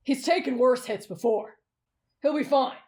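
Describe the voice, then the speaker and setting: A woman voicing a brash, bossy young male warrior in an anime fan roleplay, blunt and gruff in manner. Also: high voice, normal speed, a little mellow, close to an everyday voice. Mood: grumpy, blunt.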